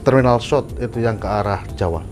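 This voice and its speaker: A man's voice: lowish voice, fairly quick. A man explaining his work in a casual chat, agreeable and mixing in English technical terms. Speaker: air traffic controller guest. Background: faint background music.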